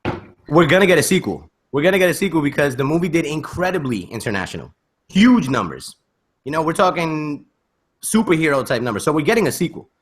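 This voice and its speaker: A man hosting a casual panel discussion, opinionated and animated, checking in with rhetorical questions over a video call. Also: a little high, fast talker. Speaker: podcast host.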